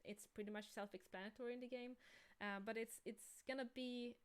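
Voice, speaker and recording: A woman's voice: on the high side, steady tone, a little fast, clean tone. A woman with a Swedish accent, chatting casually as a game creator hosting a livestream. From Sweden.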